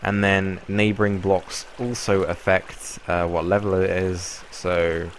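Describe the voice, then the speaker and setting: A young man with a croaky voice from a cold, casually explaining a game build in a rambling way. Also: sits low, slow pace, slight background noise. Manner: relaxed, rambling explanation with fillers. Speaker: Minecraft YouTuber. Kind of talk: casual gameplay tutorial.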